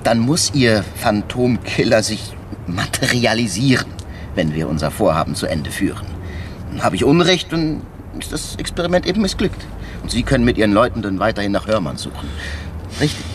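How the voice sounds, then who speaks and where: Radio crime drama: shrewd, unorthodox male inspector, lowish voice, insinuating, politely needling, over clear street noise. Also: big pitch swings, moderate pace. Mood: insistent, confident. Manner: insinuating, mock-deferential, argues step by step. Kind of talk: naturalistic German radio crime drama acting.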